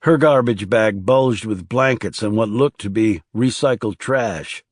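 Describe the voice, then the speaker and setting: A man's voice: on the low side, a little slow, no room sound, clean studio sound. A man reading scripted thriller narration as an audiobook narrator.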